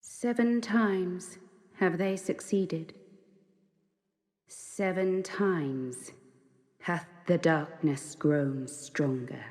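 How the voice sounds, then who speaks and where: Slow, echoing ancient goddess. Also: female voice, lowish voice, darkish tone. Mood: ominous, grave. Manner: grave, archaic, measured phrasing with weighty pauses. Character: ancient grieving female goddess of light, solemn and maternal. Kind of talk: slow solemn fantasy game monologue. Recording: long cavernous reverb.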